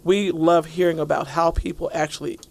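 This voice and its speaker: A woman's voice: low-pitched, fairly even intonation, fairly quick, vocal fry, a little mellow. A woman hosting a podcast, reading a scripted intro and then chatting with a guest in an upbeat, enthusiastic way. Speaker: podcast host.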